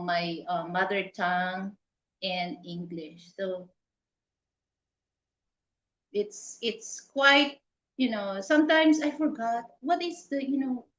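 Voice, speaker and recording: Filipina woman, Filipino accent, slow halting speech, echoey dull call audio. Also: mid-range voice, fairly bright tone. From Philippines. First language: Hiligaynon. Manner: hesitant, searching for words, many fillers. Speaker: interview guest. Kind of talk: casual conversational interview. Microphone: video call. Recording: roomy, dull lo-fi sound.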